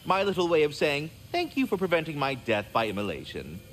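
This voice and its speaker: A man's voice: on the high side, huge pitch swings, brisk, fairly bright tone, slight background noise. A man voicing a courteous, fretful, erudite magical squirrel in a video game, speaking in formal, wordy, theatrical phrases. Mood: warm, grateful. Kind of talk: video game character acting.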